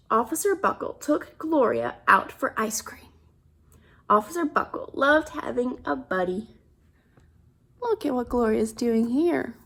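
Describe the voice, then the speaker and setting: A woman's voice: high-pitched, creaky. A woman reading a picture book aloud to children, expressively, with simple asides and questions about the pictures.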